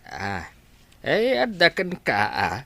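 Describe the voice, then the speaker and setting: A man plays a forgetful, cantankerous old grandfather with an Ilocano accent. His voice is sharp and slow, gruff and halting. It is radio drama, recorded very dry. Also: a little high, wildly swooping pitch, dry recording, full character voice. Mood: grudging. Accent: Filipino, Ilocano.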